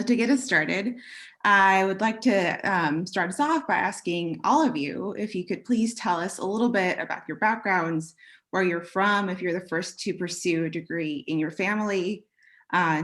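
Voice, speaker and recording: Soft-spoken young woman moderating a panel. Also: fairly low pitch. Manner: soft-spoken, hesitant with many ums. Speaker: panel moderator, graduate student. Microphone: video call.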